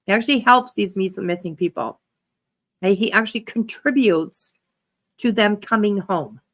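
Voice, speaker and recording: A woman's voice: medium pitch, moderate pace. A woman giving casual reaction commentary as a livestream host, talking in a blunt, sweary way.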